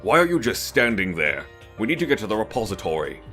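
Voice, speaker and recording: Man acting haughty young male student, lowish pitch, lively intonation, condescending, impatient, commanding, anime game fan dub. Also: quick pace, faint music behind, full character voice.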